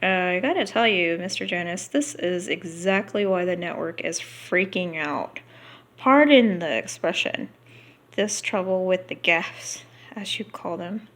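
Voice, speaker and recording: A woman reading a book aloud unrehearsed, halting here and there and slipping into casual asides. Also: fairly low pitch.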